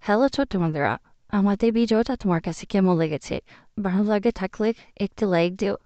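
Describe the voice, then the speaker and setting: A woman's voice: medium pitch, brisk, dark tone, no room sound. A woman reading a scripted channel outro addressed to young viewers. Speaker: channel announcer. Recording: dull, lo-fi audio.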